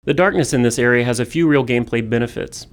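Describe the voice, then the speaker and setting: Man, creaky voice, average pitch, scripted developer commentary. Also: fast. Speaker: game developer giving commentary.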